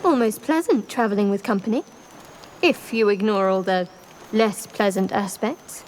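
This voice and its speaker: A woman's voice: medium pitch, moderate pace, lightly acted, low-quality recording. A woman playing a tired, guarded adventurer in a video game, conversational, dry and understated. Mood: tired, wry. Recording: faint background noise.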